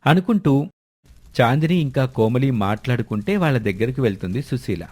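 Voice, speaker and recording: A man's voice: mid-range voice, very animated, a little fast, a little mellow, husky. A man reading scripted narration for a moral family story.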